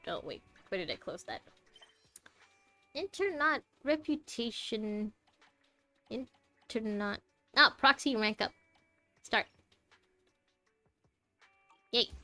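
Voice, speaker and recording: A woman's voice: on the high side, expressive, slow, pauses often, bright, forceful tone, soft background music. A young woman from Malaysia chatting casually as she plays through a game, rambling and thinking aloud. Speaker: game streamer. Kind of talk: casual commentary while playing a game.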